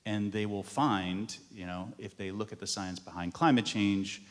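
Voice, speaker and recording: Fairly low-voiced man on a conference panel. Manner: conversational, laughs lightly between points. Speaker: panelist, author. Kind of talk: panel discussion. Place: conference hall on stage. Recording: reverberant conference hall.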